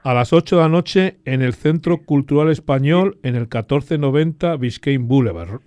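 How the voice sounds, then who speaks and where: A man's voice: medium pitch, lively intonation, moderate pace, warm tone, dry recording. Guy hosting an interview, mid-range voice, chatty questions, really dry sound. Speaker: interviewer, radio host. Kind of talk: conversational interviewing.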